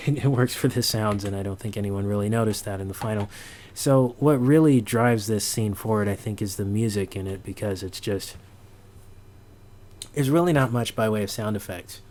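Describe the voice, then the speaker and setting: A man giving an informal sound design tutorial, halting as he thinks aloud. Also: on the low side, a little mellow.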